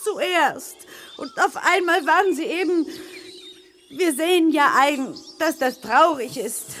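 A distressed woman on trial in a German radio play. The voice is high and plaintive, anxious and pleading in its phrasing. Noise is audible behind it. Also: takes it slowly, a bit bright. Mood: apologetic. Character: distressed, pleading woman trapped and accused by animals.

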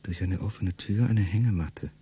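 A middle-aged man speaking a first-person radio play monologue in a low, intimate way that often sinks to a whisper. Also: moderate pace, mellow, slight background noise.

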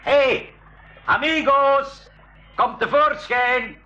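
Slow, high-voiced hailing explorer, echoey. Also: female voice, sharp tone, heavily acted. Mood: coaxing. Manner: calling out haltingly. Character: explorer hailing strangers in broken pidgin, friendly. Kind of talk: school radio play acting. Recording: echoey, dull, noisy 1980s radio play.

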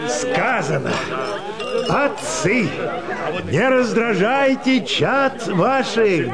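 An exasperated mock preacher giving a toast in a radio play. His declamation is very high and extremely slow, amid a loud crowd. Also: full character voice. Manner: declamatory, deliberate.

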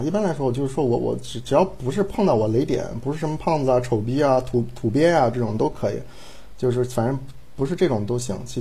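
A man speaking off the cuff in casual chat, halting, offhand and often repeating himself. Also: mid-range voice, creaky, warm tone, husky. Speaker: audio drama director.

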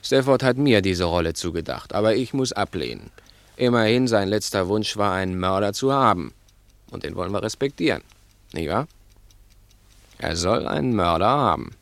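A male actor playing a cool, calculating, sardonic crook with a deliberate, condescending manner in a 1960s German radio play. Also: on the low side, animated, gravelly, a bit bright, dry recording. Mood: coolly scheming.

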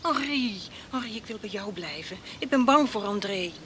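An actress playing an anxious lover in a radio drama, urgent, pleading and coaxing. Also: female voice, medium pitch, animated, moderate pace, fairly bright tone, a little hiss or hum, natural voice. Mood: frightened pleading.

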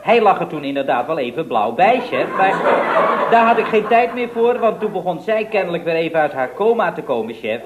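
A man's voice: fairly high pitch, big pitch swings, brisk, muffled recording. An actor playing an earnest, slightly dim, subservient male employee in a radio comedy, speaking in clipped, deferential, stammering phrases. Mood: breathless self-justifying. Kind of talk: 1970s radio comedy acting. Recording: noisy vintage radio recording with clicks.

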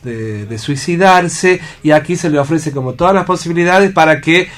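An Argentine man reflecting aloud as a radio panelist, halting as he thinks and often checking for agreement. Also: a little high. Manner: thoughtful, halting, seeks agreement with tag questions. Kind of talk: reflective unscripted discussion.

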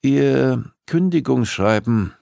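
A man voicing a female police psychologist who is polite, persistent and gently probing. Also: mid-range voice, animated, slow pace, creaky, no echo, studio quality, voice pushed up. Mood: polite, businesslike.

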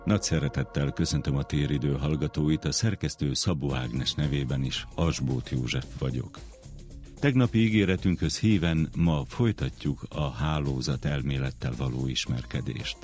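A man presenting a radio programme, reading a scripted introduction in a measured announcer's style. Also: extremely low pitch, darkish tone, soft background music.

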